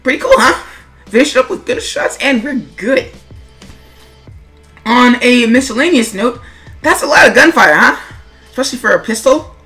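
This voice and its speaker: A woman's voice: fairly high pitch, expressive, normal speed, long pauses, pushed and bright, soft background music. A woman giving semi-scripted creator commentary in a chatty way, with wry asides and questions put to the listener. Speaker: webcomic creator and narrator.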